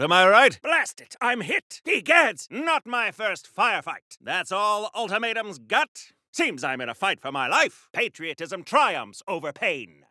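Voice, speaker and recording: A bombastic 1940s patriotic hero soldier for a video game. His voice is high and bright, swinging widely in pitch. He proclaims with theatrical, earnest old radio-serial flair. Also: dry recording, studio quality, exaggerated voice. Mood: chummy, eager, then strained, defiant.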